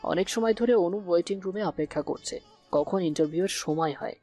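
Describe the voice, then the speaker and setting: Mellow, low-voiced female storyteller. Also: fairly quick. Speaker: narrator. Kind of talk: scripted romantic story narration. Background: faint background music.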